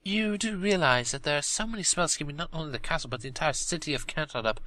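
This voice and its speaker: A man's voice: fairly high pitch, fast talker, dry recording, voice pushed up. A man voicing a teasing, regal female pony princess who speaks in a wry, questioning way. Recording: loud music behind.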